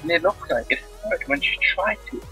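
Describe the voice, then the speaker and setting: A man's voice: high voice, sing-song, brisk, sharp tone, faint music behind, voice pushed up, full character voice. A man voicing a playful, mischievous young village girl while reading a visual novel aloud. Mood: wistful.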